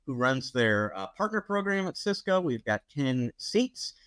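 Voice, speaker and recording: A man's voice: medium pitch, very animated, measured pace, fairly bright tone, smooth, no echo. A man co-hosting a podcast, introducing guests in a casual, upbeat way with frequent ums and uhs. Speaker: podcast co-host.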